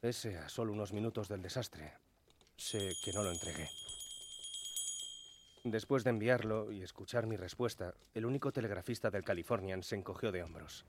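An actor playing a weary, overworked ship's telegraphist recounting events in a radio drama monologue. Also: male voice, lowish voice, moderate pace, background noise, natural voice. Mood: weary, regretful. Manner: regretful, dramatic storytelling. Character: weary, overworked ship's telegraphist recalling his fateful night.

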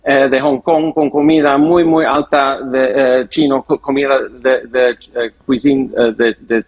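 A man with a foreign accent in non-native Spanish, answering an interview haltingly and searching for words. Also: mid-range voice, flat delivery, narrow, tinny audio. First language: not Spanish. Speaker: interview guest, airline executive.

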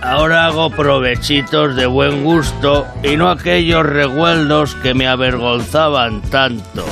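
A man playing a quaint satisfied customer in a parody soft drink advert, over loud music. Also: a little high, a little slow, creaky.

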